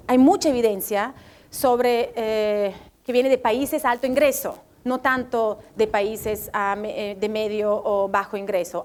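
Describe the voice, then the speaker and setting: A woman from Italy speaking Spanish with an Italian accent, presenting a report at a public event and often pausing to search for words. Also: fairly high pitch, a little mellow. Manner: hesitant, searching for words, light self-deprecating laughs. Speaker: World Bank economist presenting a report.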